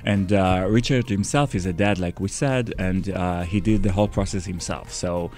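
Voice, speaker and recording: Israeli-accented man, low voice, chatty host. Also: moderate pace, a little mellow, faint music behind. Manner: playful, chatty delivery with fillers. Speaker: podcast co-host. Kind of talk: casual podcast chat.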